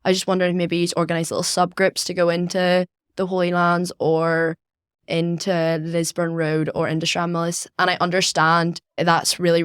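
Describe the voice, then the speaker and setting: A woman's voice: on the low side, smooth, no echo, studio quality. A young woman with a Northern Irish accent interviewing guests conversationally as a podcast host.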